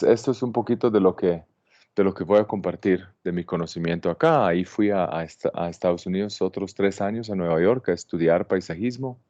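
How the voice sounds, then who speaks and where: A man in his forties from Israel with an Israeli accent, giving a calm, halting introduction to an online plant workshop, with many fillers. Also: low voice, no echo. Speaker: landscape designer teaching a plant workshop. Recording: dull, dry computer-mic sound.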